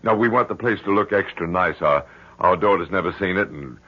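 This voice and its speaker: A man's voice: low-pitched, brisk, husky. An actor playing a fretful middle-aged father in a 1970s radio drama, stammering and impatient. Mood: nervous, flustered. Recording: muffled 1970s radio recording.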